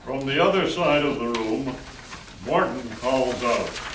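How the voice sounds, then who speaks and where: A man narrating an amateur play from a script, with deliberate storytelling delivery. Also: medium pitch, expressive, fairly bright tone. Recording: roomy, distant mic with background noise.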